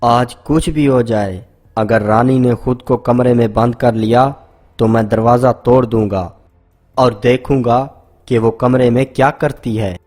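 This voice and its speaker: A man's voice: medium pitch, fairly even intonation, a little slow, a little mellow, natural voice. A man voicing an exasperated, agitated young husband. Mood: determined. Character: young husband, puzzled and determined.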